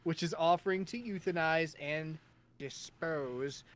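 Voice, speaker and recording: A man's voice: fairly high pitch, a little slow, fairly bright tone. A young man hosting a casual comedy podcast, reading out weird news stories with a jokey tone and laughing mid-sentence. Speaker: podcast host.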